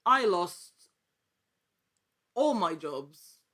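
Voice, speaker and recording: A woman talking casually as an interview guest, telling her story in an animated, chatty way. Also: lowish voice, drawn-out words. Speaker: podcast guest, actor.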